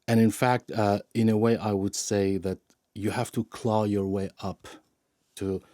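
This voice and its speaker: A man answering interview questions as a media analyst, halting with frequent restarts and fillers. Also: on the low side, flat delivery, dark tone, dry recording, clean studio sound. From Iran.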